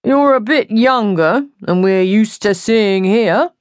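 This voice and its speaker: A woman plays a stuck-up porter in his thirties, officious and self-important. He speaks slowly and pompously, straining to sound posher than he is, as a storybook character in a very dry, close recording. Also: mid-range voice, no echo, pitched above the performer's own voice, lightly acted. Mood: condescending. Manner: pompous, talking as if trying to sound posh. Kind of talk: audiobook character dialogue.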